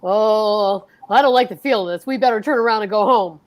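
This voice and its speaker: A woman's voice: medium pitch, fairly quick, lightly acted. A woman playing a reluctant, worrying henpecked husband in old-time radio comedy style, hesitant and grumbling. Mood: nervous.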